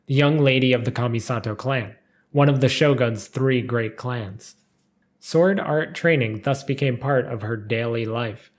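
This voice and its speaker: Give a man's voice in a middle register, reading scripted lore as a narrator. He tells a game character's backstory in full, written sentences. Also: mid-range voice.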